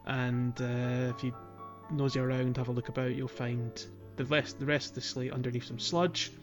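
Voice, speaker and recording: A man's voice: mid-range voice, flat delivery, normal speed, music in the background. A man co-hosting a gaming podcast, chatting casually and explaining things in an informal, hesitant way. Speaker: podcast co-host.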